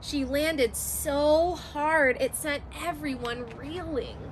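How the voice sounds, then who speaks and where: A woman's voice: high-pitched, slow, background noise. A woman teacher reading a rhyming children's picture book aloud, in a sing-song, rhythmic storytelling manner.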